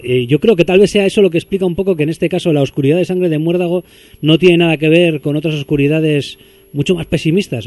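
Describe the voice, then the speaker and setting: A man from Spain hosts a radio interview, his voice a touch higher than most men's. He speaks quickly and strings his questions out at length, with plenty of fillers along the way. The studio sound is dry and close. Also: darkish tone, dry recording. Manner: long, winding questions with fillers.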